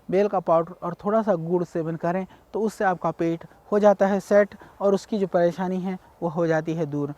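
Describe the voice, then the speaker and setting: A man from India giving a measured, explanatory health talk on ayurveda, speaking directly to viewers. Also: high voice, a little mellow. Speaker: ayurveda teacher.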